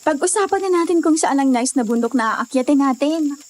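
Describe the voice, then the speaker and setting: A bold, frank young Filipino woman hiker , acted by a woman in an audio drama. Her voice is high, with faint cricket noise around it. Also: expressive, fairly quick, a little mellow. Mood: frank, easygoing. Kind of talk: conversational audio drama acting.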